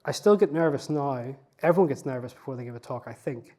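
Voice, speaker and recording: A man speaks as an interview guest, thinking aloud in an unassuming, candid way. He often restarts phrases and leans on conversational fillers. His voice sounds warm and relaxed, sitting at an ordinary pitch. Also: fast talker. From not from Newcastle. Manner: reflective, self-effacing, many "you know" fillers and restarts.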